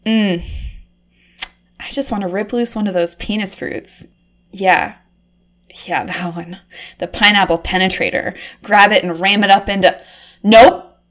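A woman voicing a flustered, easily aroused undercover reporter, swinging from hushed murmurs to shrill cursing. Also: fairly even intonation, unhurried, pauses often, close to an everyday voice. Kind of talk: comedic erotic audio drama monologue.

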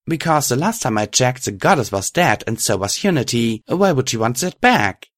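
A headstrong young hero from a fantasy storybook, acted by a man, challenges a foe. The voice is pitched up from a low natural register, defiant and angry. It sounds dry and close. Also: big pitch swings, moderate pace, a bit bright, no room sound. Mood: challenging.

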